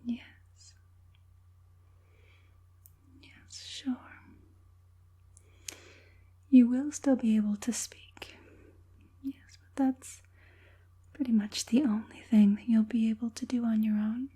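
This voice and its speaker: A woman playing a softly menacing evil witch puppet master in an ASMR roleplay, whispering breathily with a coaxing, politely sinister manner. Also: on the high side, steady tone, takes it slowly, long pauses, breathy edge. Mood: meticulous, gentle.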